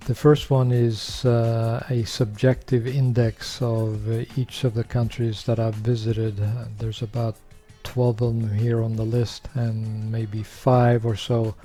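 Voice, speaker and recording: A man's voice: mid-range voice, level tone, slow pace, hardly any pauses, warm tone, soft background music. An older man from Norway talking casually to camera, rambling with many fillers and sharing opinions. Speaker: vlogger.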